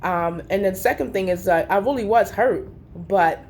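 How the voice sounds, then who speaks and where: Woman vlogger with a head cold, congested and earnest, rambling motivational talk over a faint low rumble. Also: normal speed, a little mellow. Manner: earnest, rambling, many ums and restarts. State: stuffy from a cold. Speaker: vlogger giving life advice. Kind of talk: casual motivational talk to camera.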